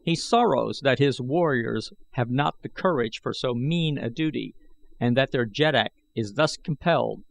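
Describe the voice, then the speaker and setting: A man's voice: mid-range voice, normal speed, vocal fry, natural voice. A man voicing a fawning, self-important male major-domo in an adventure storybook. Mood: smug, taunting. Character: smug, fawning male palace major-domo spreading taunts.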